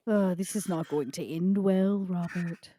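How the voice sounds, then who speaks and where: A woman playing a haughty, scheming female vampire in a tabletop game, with a dry, sardonic, deliberate delivery. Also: on the low side, dark tone. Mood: scornful.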